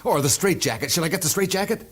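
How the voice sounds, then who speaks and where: A man's voice: high-pitched, quick pace, shouting. Jumpy, slangy radio ambulance man, heavy hiss. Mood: uneasy. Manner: slangy, nervous, excitable. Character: uneasy, slangy male ambulance attendant, easily spooked. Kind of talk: old-time radio horror drama acting. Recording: very noisy vintage radio recording.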